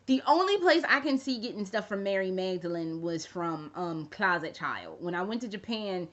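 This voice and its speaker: Woman in her thirties vlogging, loose and profane, mid-pitched voice, somewhat muffled lo-fi sound. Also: very animated, normal speed, fairly bright tone. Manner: rambling, profane, with jokey asides. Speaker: fashion vlogger. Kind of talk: casual chat to camera. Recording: dull lo-fi recording.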